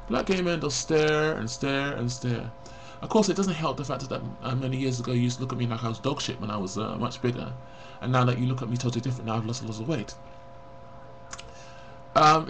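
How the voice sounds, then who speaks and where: A man's voice: mid-range voice, gravelly, a little hiss or hum, poor audio. A man of forty talking frankly and bluntly to a webcam in a personal confessional vlog, rambling and reflective. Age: 40s. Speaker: vlogger.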